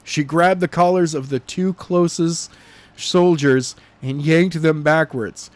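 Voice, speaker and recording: Man giving a halting story narration, slightly high-pitched. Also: fairly even intonation, dry recording. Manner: halting, stumbling read with self-corrections. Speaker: narrator reading a novel chapter. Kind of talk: animated amateur audiobook narration.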